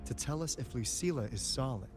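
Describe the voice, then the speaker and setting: A man's voice: mid-range voice, animated, fairly quick, natural voice. A breezy male video game character, voiced by an actor, wry and teasing as he talks shop. His delivery swings up and down with lots of expression, and music plays underneath. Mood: wry, candid. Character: breezy, wry adult male academy insider briefing an auditor.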